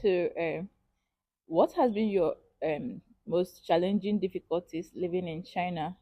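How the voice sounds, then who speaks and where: Woman asking interview questions, lowish warm voice, friendly, dry sound. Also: measured pace, dry recording. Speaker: street interviewer.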